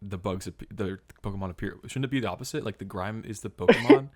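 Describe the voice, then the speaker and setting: A man's voice: on the low side, fast talker, darkish tone. A man co-hosting a casual podcast, speaking informally with joking banter. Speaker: podcast co-host. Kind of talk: casual chat.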